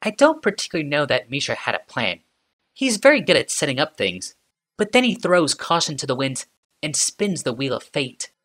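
Man doing a cool, mocking woman inventor, higher pitch, swooping lively delivery, bright tone, storybook reading. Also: moderate pace. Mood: wry. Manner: calm, conversational, mocking. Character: sardonic female inventor, cool and morbid. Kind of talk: audiobook character reading.

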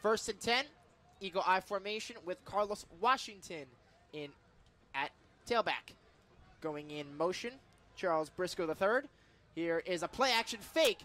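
An American man doing live football play-by-play on the radio, with a very high, bright voice. His delivery is excitable and emphatic, the classic announcer following every snap. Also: measured pace, pauses often. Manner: excitable, builds to emphatic calls on big plays.

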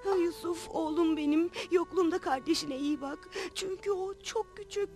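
A woman's voice: very high-pitched, moderate pace, a little mellow, soft background music. A woman voicing a tender, frail young mother in a cartoon dub. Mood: weak, urgent. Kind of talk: Turkish cartoon dub acting.

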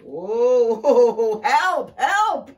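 A woman voicing a big furry storybook bear, confident but easily startled, with loud, emphatic delivery, slightly off-mic. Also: low-pitched, lively intonation, takes it slowly, recorded from a distance. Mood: terrified.

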